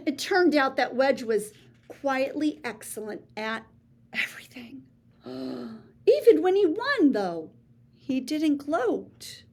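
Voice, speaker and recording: A woman reads a children's story aloud in a lively, sing-song voice that swoops up and down. She takes her time, letting each line land unhurriedly, and drops into gasps and hushed asides to the listeners. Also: sits high, slightly rough. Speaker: children's book reader.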